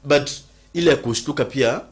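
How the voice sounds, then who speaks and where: Man, Kenyan accent, insistent, repeats phrases, mid-pitched voice, casual debate. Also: moderate pace, breathy edge. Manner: insistent, repeats himself, mixes English and Swahili slang.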